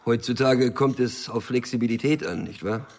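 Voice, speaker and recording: An actor playing a cynical, nervy small-time cocaine dealer in a German crime radio drama, laconic and sardonic with slangy talk. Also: male voice, mid-range voice, normal speed, a little mellow, lightly acted. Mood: cool, sardonic.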